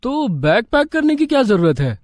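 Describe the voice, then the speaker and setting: Man playing a high-pitched, flustered young husband. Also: big pitch swings, normal speed, dry recording, studio quality. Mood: puzzled, pleading. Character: exasperated, henpecked young husband.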